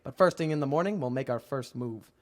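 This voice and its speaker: A man's voice: medium pitch, expressive, fast talker, natural voice. A man playing a brave, cocky police inspector in an old-time radio drama, theatrical and wry. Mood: urgent, determined. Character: dapper, cocky male police inspector hero, hard-drinking and brave. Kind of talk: 1940s radio acting.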